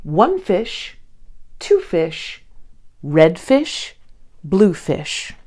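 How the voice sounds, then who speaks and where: A woman in her thirties reading a rhyming children's picture book aloud in an expressive, theatrical manner with deliberate phrasing. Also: lowish voice. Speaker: children's storybook reader. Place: small indoor studio with blue backdrop.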